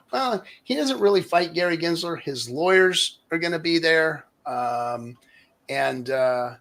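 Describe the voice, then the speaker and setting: Guy hosting a livestream, kinda higher voice, rambly casual chat, reads stuff from the comments. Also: fairly bright tone. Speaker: livestream host.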